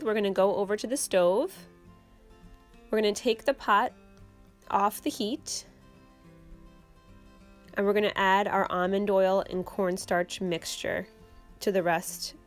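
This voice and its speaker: A woman's voice: medium pitch, unhurried, pauses often. Young woman, vlog host, casual how-to, home kitchen, faint background music. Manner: relaxed, explaining step by step with fillers. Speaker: channel host.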